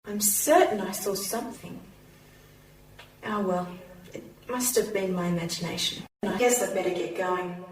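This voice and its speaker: A woman's voice: fairly low pitch, normal speed, pauses often, natural voice. A woman playing a determined, pleading young woman in an amateur radio play. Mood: curious. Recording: roomy, distant, humming home recording.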